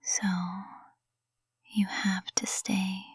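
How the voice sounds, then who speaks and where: A woman's voice: medium pitch, completely flat delivery, breathy edge, dry recording, exaggerated voice. A woman playing a lovestruck witch professor in an intimate ASMR roleplay, whispering tenderly and breathily, close to the microphone. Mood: intense devotion. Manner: slow, tender, intimate delivery. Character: lovestruck high witch professor, usually cold and composed, tender.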